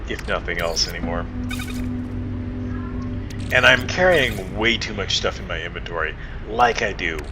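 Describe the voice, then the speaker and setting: A man's voice: animated, moderate pace, long pauses. A man giving casual video game commentary, thinking aloud and mumbling to himself in a rambling, easily delighted way. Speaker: gaming channel host. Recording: very noisy, constant loud background rumble.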